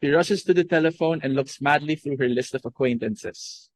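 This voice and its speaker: A young man narrating the stage directions of a play in an even, descriptive reading. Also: on the high side, moderate pace, no room sound. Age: young adult. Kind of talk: scripted narration.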